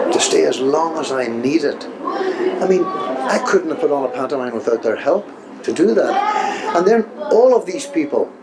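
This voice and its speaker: A man with an Irish accent, a pantomime organiser, talking informally in an interview. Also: medium pitch. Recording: clear background noise.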